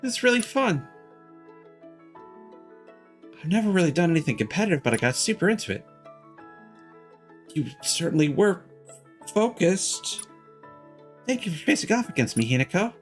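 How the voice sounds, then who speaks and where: A man voicing earnest, excitable, polite young schoolgirls as he reads anime game dialogue aloud. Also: high voice, a little fast, long pauses, pitched above the performer's own voice. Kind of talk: reading visual novel game dialogue aloud. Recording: music behind the voice.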